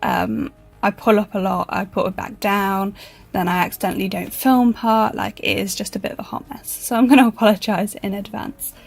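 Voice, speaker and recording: Woman, croaky husky voice, chatty rambling planner vlogger, soft music behind. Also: medium pitch, steady tone, normal speed. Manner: chatty and self-deprecating, many ums. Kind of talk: casual voice-over narration.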